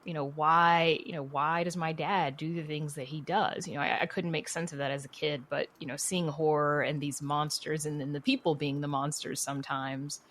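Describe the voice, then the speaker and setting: A woman's voice: sits low, flat delivery, normal speed, few pauses, fairly bright tone. A woman telling stories casually as an interview guest, candid and chatty, with lots of "you know" and "like". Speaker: podcast guest, horror writer. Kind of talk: casual conversational storytelling.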